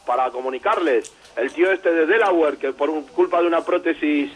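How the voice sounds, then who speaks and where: A man's voice: a little high, a little fast, slightly rough. A man calling in to a radio show, chatting casually in an irreverent, joking, sweary way.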